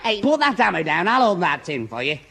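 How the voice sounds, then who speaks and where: A man's voice: sits high, very animated, fast talker, vocal fry, pushed and bright, full character voice. A man playing a dim, well-meaning young pal who stammers and muddles along in a radio sitcom. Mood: worried. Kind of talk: 1960s radio sitcom acting.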